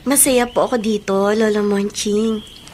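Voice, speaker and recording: A woman's voice: mid-range voice, steady tone, unhurried, a little mellow, slight background noise, lightly acted. An actress playing a meek, earnest teenage girl in a Filipino radio drama, with a polite, hesitant way of talking.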